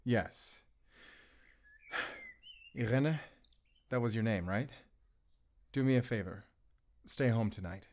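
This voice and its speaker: A man playing an earnest, gentle wolf hunter in an audio roleplay, speaking in a measured, sincere way. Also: medium pitch, slight background noise. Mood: gentle, reassuring. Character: grown male wolf hunter, earnest, gentle, protective, duty-bound. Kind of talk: cinematic audio roleplay acting.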